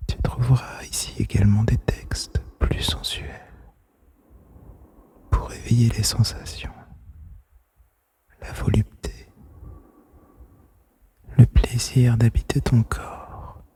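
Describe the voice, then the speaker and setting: A man murmurs an intimate relaxation monologue in a breathy whisper. His delivery is extremely slow and gentle, with a soft, mellow tone. He stays close to the microphone, soothing, as if speaking into one listener's ear. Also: medium pitch. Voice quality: breathy, mostly unvoiced whisper. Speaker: host of a whispered relaxation podcast. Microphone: close microphone, made for headphones.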